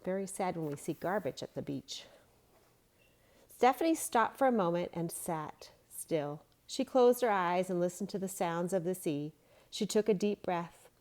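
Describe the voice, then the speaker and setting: A woman reading a storybook aloud as a children's yoga teacher, giving simple, gentle guiding instructions. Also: lowish voice, expressive, unhurried.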